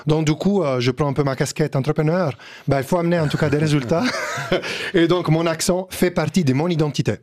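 Italian-accented male guest, a bit high-pitched, earnest talk with fillers. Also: a little fast, darkish tone. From Italy. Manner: earnest, direct, with many fillers. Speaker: guest, engineer and HR consultant. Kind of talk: radio discussion.

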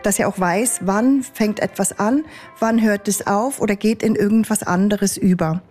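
A woman's voice: medium pitch, moderate pace, a little mellow, soft background music. A woman speaking in an interview as an interpreter, in everyday conversational phrasing. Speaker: sign language interpreter. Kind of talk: interview answers and spoken interpreting.

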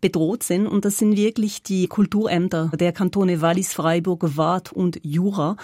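A woman with a mellow, soft tone gives background information on a radio show. She speaks in a measured way, and the studio sound is close and dry. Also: fairly low pitch, fairly even intonation, no echo. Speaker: radio reporter.

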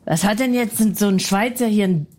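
A woman's voice: mid-range voice, normal speed, a little mellow. A woman in a comedy sketch, talking bluntly and brashly, ranting, mocking the other person and laughing often.

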